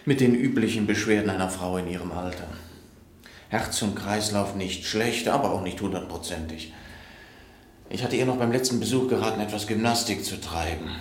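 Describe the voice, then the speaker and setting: An actor plays a self-assured male doctor in a radio crime play. His voice is low, with a precise, dryly factual delivery. The room echoes and there is faint noise behind him. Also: normal speed, close to an everyday voice. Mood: matter-of-fact, assured. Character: assured, matter-of-fact male doctor giving a police statement. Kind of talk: naturalistic radio drama acting.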